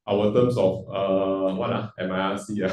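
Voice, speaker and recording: A man's voice: deep voice, fairly even intonation, unhurried, darkish tone, roomy sound, distant mic, muffled recording. A man hosting a question-and-answer session at a live event, chatty and joking, switching between languages. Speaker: event host. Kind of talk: casual Q&A hosting with jokes. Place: event hall.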